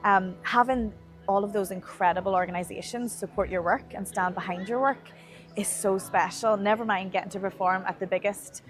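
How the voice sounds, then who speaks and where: A woman's voice: mid-range voice, steady tone, normal speed, soft background music. A young woman with an Irish accent, speaking as a playwright and performer in a promotional interview. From Ireland. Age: young adult.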